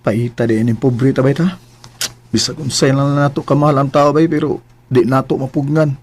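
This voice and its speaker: A man's voice: mid-range voice, steady tone, moderate pace, a little mellow, slight background noise, natural voice. A man playing a young, earnest son and boyfriend in an amateur radio drama, often apologizing. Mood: resigned.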